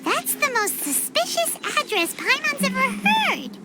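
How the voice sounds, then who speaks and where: A tiny floating companion with a very high, bouncy voice that swings wildly, blunt and quick to chide. for a game cutscene, and music plays behind her. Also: sing-song, full character voice. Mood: wary. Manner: blunt, chiding.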